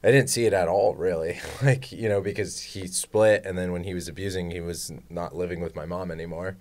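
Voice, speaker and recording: A young man talking in a relaxed, rambling way, full of fillers, as a podcast guest at a studio boom mic. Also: a little fast, slight background noise. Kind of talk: casual chat. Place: small podcast studio with colored lights. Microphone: studio mic on boom arm, headphones.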